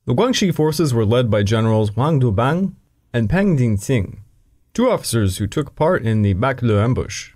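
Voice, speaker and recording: Man narrating history with lively intonation. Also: medium pitch, normal speed. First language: English. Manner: dry asides breaking into the reading.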